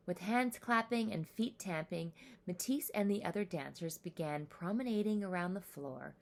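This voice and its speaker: A woman reading a children's story aloud with animated, deliberate, expressive narration. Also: fairly low pitch.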